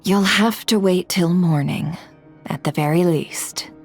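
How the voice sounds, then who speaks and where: An actress voicing a sly, unhurried female information dealer who drawls and teases. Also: fairly low pitch, faint music behind. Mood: teasing. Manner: drawling, teasing. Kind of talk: video game dub acting.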